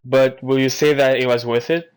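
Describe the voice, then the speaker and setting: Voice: medium pitch, fairly even intonation, moderate pace, clear, resonant tone. A radio show host presenting from a script and asking interview questions.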